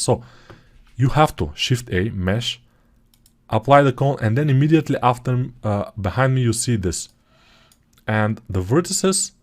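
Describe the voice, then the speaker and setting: Chatty Bulgarian-accented man, lowish voice. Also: a little mellow. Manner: chatty, digressive, self-correcting. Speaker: 3D modeling course instructor. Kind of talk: software tutorial walkthrough.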